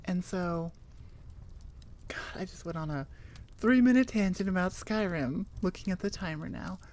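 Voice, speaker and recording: A woman's voice: lowish voice, moderate pace, darkish tone. A woman chatting casually and informally as an audio creator, rambling with enthusiasm. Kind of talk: casual rambling outro chat.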